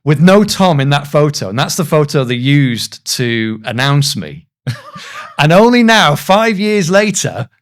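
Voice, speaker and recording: A man's voice: a little high, sing-song, unhurried. A man in his thirties, a voice actor, telling anecdotes in a casual, self-deprecating way as a podcast guest. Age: 30s.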